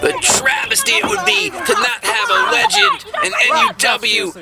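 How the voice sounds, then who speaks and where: A man calls wrestling in a high voice with a gravelly edge. He is dramatic and emphatic, often shouting his play-by-play over clearly noticeable background noise. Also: huge pitch swings, normal speed. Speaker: wrestling commentator. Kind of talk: excited play-by-play sports commentary.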